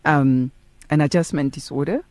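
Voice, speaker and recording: A woman's voice: sits low, moderate pace, darkish tone, dry recording. Female host, South African accent, questioning manner, dry recording. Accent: South African English. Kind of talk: interview hosting.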